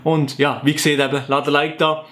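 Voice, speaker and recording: A man's voice: fairly high pitch, flat delivery, fairly quick, a bit bright. A young man from the Canton of Fribourg with a Sensler Swiss German accent, playfully explaining his dialect to the camera at home. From Canton of Fribourg, Switzerland. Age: young adult. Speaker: YouTuber.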